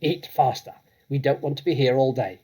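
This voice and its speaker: A man's voice: medium pitch, moderate pace, warm tone, slightly rough, lightly acted. A man voicing a tyrannical, bullying headmistress in a storybook reading, bellowing domineering commands. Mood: furious, impatient. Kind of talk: storybook character acting.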